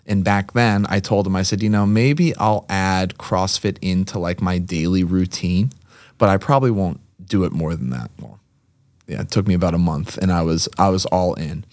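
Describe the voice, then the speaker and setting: A man's voice: sits low, normal speed, darkish tone, no room sound. A man, a podcast guest telling stories in a chatty, joking way. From Massachusetts. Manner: chatty storyteller, joking asides. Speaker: podcast guest, CrossFit gym owner. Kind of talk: casual chat.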